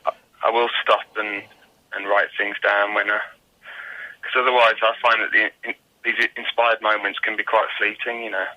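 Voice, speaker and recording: A British man speaking as a musician guest in a casual interview, thoughtful, modest and measured in how he talks. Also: on the low side, flat delivery, muffled recording. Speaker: guest singer-songwriter.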